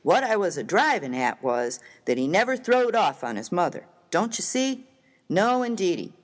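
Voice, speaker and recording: Male actor as Old West miner, American accent, rough, hearty, good-natured, folksy slang, very animated intonation, humorous story reading. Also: high-pitched, moderate pace. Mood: hearty, sincere.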